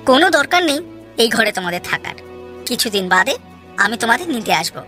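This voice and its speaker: Wealthy, generous merchant for a storybook tale, acted by a woman: confident, friendly, high-pitched, over clear music. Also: very animated, brisk, bright, forceful tone. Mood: generous, assured. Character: grateful, generous wealthy city businessman. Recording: music behind the voice.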